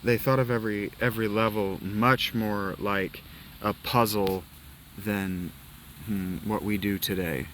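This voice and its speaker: Casual let's-play host, a man from Ohio, rambling and nostalgic, bright, forceful voice. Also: on the low side, fairly even intonation, measured pace, a little hiss or hum. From Ohio, USA. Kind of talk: casual gaming commentary.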